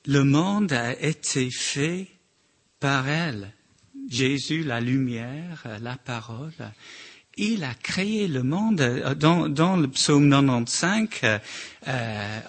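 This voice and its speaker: Slow, halting man with a slight accent. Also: mid-range voice. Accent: slight foreign accent in French. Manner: slow, halting, many restarts. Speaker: church member sharing a reflection. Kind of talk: informal sermon reflection.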